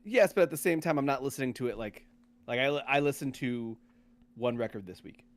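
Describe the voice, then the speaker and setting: A man hosting a podcast in casual chat, often repeating and rephrasing himself in a halting way. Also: mid-range voice, animated. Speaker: podcast host.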